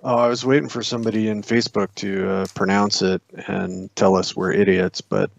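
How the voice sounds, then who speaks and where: Wry man cracking quick quips. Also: lowish voice, flat delivery, vocal fry, dry recording, highs cut off. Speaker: co-host. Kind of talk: casual chat.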